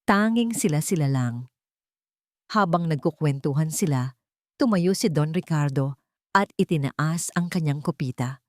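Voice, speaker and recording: A woman's voice: low voice, animated, a little slow, pauses often, a little mellow, dry recording, clean studio sound. A woman with a Filipino accent reading a dramatic scripted story. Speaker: story narrator.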